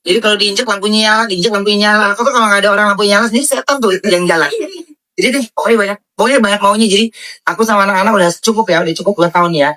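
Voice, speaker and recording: Woman interviewee, chatty and rambling, relaxed everyday delivery. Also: medium pitch, very fast. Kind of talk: casual interview chat.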